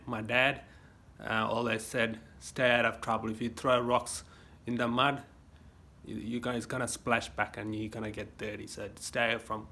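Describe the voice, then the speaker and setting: Australian male vlogger, phone mic, tense bright tone, loose rambling delivery. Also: mid-range voice. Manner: rambling, folksy advice with many fillers and restarts. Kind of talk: casual rambling monologue to a phone camera. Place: home gym. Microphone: phone camera.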